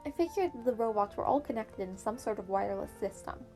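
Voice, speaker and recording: A woman's voice: mid-range voice, lively intonation, fairly quick, darkish tone, close to an everyday voice. Woman reading as an earnest teenage schoolboy. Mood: confident, keen. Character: earnest, clever teenage boy student hiding his trauma. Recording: piano music behind the voice.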